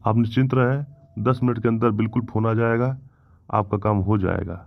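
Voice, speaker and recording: A man playing a self-assured, officious village police inspector in a comedy cartoon, with a brisk, questioning manner. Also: on the low side, fairly even intonation, vocal fry, no room sound. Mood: confident, commanding. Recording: dull, dry lo-fi audio.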